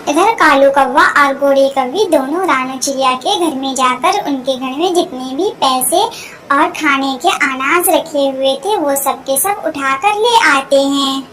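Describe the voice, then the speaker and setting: A woman's voice: high-pitched, unhurried, fairly bright tone, slight background noise. A woman reading scripted narration for a children's cartoon story.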